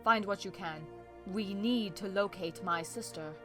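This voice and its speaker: A regal pony princess of the night , acted by a woman for a cartoon dub. Her diction is formal and archaic, her temper grave and troubled, and her pitch sits at a middle height. Music plays clearly behind her. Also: unhurried, natural voice. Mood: solemn, formal. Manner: formal, old-fashioned royal diction. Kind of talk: fan comic dub acting.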